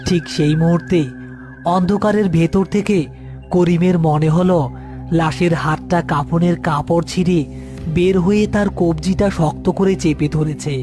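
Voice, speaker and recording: A man's voice: sits high, fairly even intonation, normal speed, over music. A man narrating a scripted horror story as storyteller.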